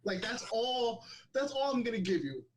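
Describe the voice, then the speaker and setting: A man's voice: very high-pitched, big pitch swings, normal speed, a loud crowd, low-quality recording. A man guesting on a podcast over a video call, telling a story in a laid-back way with lots of slang. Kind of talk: casual chat.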